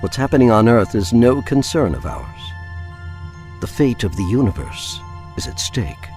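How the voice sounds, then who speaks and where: A man acts a stern emissary of an alien elite in a radio play. His lowish voice is grave and portentous with lively rises and falls, over faint music. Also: moderate pace. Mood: grave warning. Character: stern male agent of an alien elite, ominous. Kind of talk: audio drama acting.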